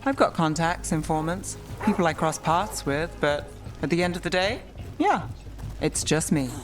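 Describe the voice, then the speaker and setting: Self-reliant female game detective, woman acting, cool delivery over clearly audible music. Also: on the low side, lively intonation, normal speed, natural voice. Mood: cool, matter-of-fact. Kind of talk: video game dialogue.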